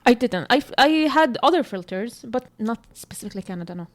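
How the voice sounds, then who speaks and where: Palestinian woman, candid and unhurried. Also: fairly high pitch, lively intonation. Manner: candid, searching for words, frequent fillers. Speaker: co-host. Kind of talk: casual podcast conversation.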